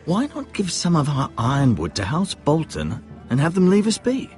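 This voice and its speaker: A deferential, expressive lordly adviser. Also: male voice, a little high, normal speed, soft background music. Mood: persuasive. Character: cautious, deferential maester advising a young lord. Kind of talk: dramatic video game voice acting.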